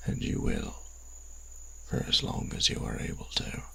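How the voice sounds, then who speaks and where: A man playing a cold, stern vampire church enforcer in an audio drama, speaking in a grave, measured, formal way with a deep rasp. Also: bright, forceful tone, low-quality recording. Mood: cold, solemn. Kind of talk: audio roleplay drama acting.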